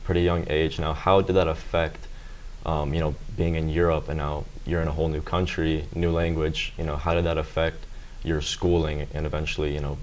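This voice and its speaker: A man's voice: very deep voice, little pitch movement, brisk, slight background noise. A teenage boy acting as a student interviewer, asking rambling questions full of fillers. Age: teens. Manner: rambling, many fillers and "you know"s.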